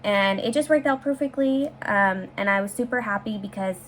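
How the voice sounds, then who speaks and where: A woman's voice: mid-range voice, normal speed. A woman in her twenties from San Francisco, chatting upbeat and casually as she answers viewer questions in her own vlog, with some fillers and restarts. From San Francisco, USA. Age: 20s. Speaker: vlogger. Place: her bedroom, heater running. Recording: faint heater hum in room.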